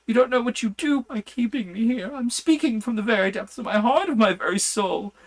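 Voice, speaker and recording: A man voicing a frantic asylum inmate who begs desperately, in a high, strained voice. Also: a little fast. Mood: desperate pleading. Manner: desperate, high-pitched pleading.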